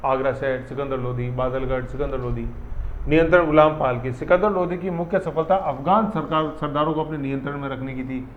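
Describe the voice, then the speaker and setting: A man's voice: mid-range voice, fairly even intonation, a little fast, a little hiss or hum. A man teaching an exam-prep history lesson in a chatty, repetitive way, often quizzing his students. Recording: echoey room, dull audio, faint ambience.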